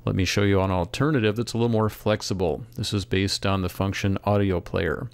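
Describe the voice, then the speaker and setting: A man narrating a software tutorial as an instructor, in a measured, step-by-step way. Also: on the low side, steady tone, no room sound. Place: close, dry voice-over for screen recording.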